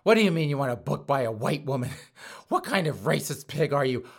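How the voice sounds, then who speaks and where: A hoarse man voicing a sassy, haughty female librarian who scolds a visitor. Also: mid-range voice, sing-song, brisk, heavily acted. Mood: sassy, scolding.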